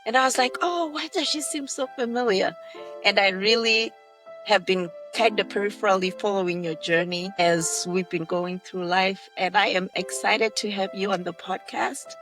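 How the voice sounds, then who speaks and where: A woman's voice: medium pitch, normal speed, a bit bright, soft background music. A woman hosting a podcast, enthusiastic as she welcomes her guest and leads a prayer. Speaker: podcast host.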